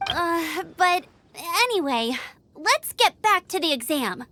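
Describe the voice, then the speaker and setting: A woman voicing a young, energetic outrider and gliding instructor in a video game, eager and encouraging. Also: very high voice, slow pace, no echo, heavily acted. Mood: upbeat. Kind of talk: game voice acting. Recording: game audio with music behind.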